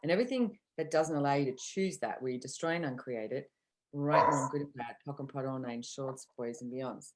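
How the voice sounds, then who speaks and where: A woman with a notably low-pitched voice speaks to a live audience in an earnest, conversational way. She drifts into asides and comes back to her repeated catchphrases. She sounds middle-aged and settled in the host's seat. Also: brisk, heavy background noise. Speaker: podcast host and workshop facilitator. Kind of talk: motivational talk to a live audience.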